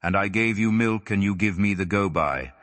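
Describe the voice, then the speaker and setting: A man's voice: fairly low pitch, normal speed, a bit bright, lightly acted. A man voicing a grumbling, ill-natured elderly mother who mutters reproachful sayings in a storybook. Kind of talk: audiobook character voice.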